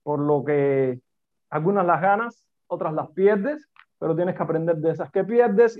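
A man from Cuba with a Cuban accent, a guest in a conversational interview, speaking animatedly in repeated short phrases. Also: high voice. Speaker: podcast guest, entrepreneur. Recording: tinny phone-like line.

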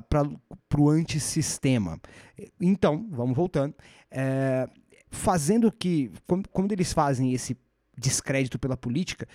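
A man's voice: a little high, warm tone, no echo, studio quality. A man with a Brazilian Portuguese accent hosting a podcast, explaining history in a thoughtful conversational way, often restarting his phrases and adding rhetorical asides. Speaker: podcast host.

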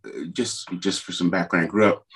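A man's voice: low voice, fairly quick. A middle-aged man from Trinidad, a musician guest telling his story in a rambling, hesitant way with many fillers. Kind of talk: conversational interview.

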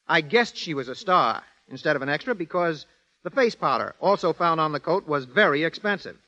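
High, forceful man on vintage radio. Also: lively intonation, fairly quick. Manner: precise, explanatory. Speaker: guest detective. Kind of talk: prepared 1930s radio talk. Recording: dull, lo-fi 1930s radio recording.

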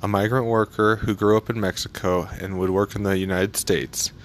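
A man's voice: lowish voice, fairly even intonation, normal speed. A man interviewing someone with simple prepared questions.

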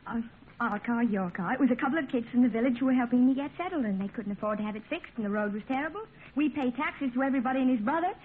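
An actress playing a scatterbrained, innocent wife with a meandering, literal-minded manner and a tart streak, in a 1950s radio comedy. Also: female voice, fairly high pitch, rapid-fire, natural voice. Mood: defensive. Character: scatterbrained, placid wife who turns tartly huffy. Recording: tinny, faintly noisy old radio recording.

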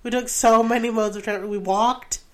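A woman's voice: on the high side, moderate pace. A woman in her twenties, from Rhode Island, chatting casually as a podcast co-host, telling stories with lots of "like"s. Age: 20s. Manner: chatty, animated storytelling with laughs and "like"s. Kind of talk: casual chat.

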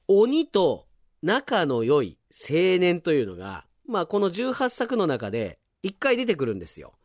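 Male radio host, very lively intonation, chatty reader of listener mail, close dry studio sound. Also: sits high, slow, no room sound. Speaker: radio show host and announcer. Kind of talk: reading out listener submissions with casual commentary.

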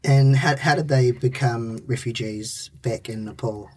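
Kiwi guy interviewing, soft husky mellow voice, a bit far from mic. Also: mid-range voice, fairly even intonation, a little slow. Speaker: podcast host, interviewer. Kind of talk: measured interview questions.

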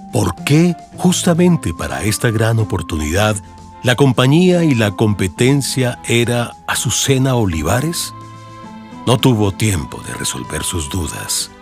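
Deep-voiced, lively Colombian male narrator. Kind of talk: dramatized audiobook narration in radio serial form. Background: music under the voice.

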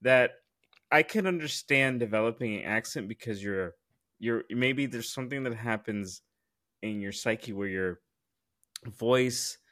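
A man's voice: mid-range voice, a little slow, a bit bright. Laid-back male podcast co-host. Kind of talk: casual podcast banter.

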